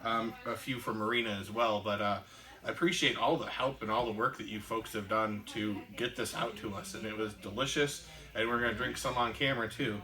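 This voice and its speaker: A man's voice: fairly low pitch, fairly even intonation, brisk, sharp tone, poor audio. A man co-hosting a casual podcast, telling a long story with many "um"s and "uh"s. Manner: rambling storyteller with many fillers. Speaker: podcast co-host. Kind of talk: casual chat.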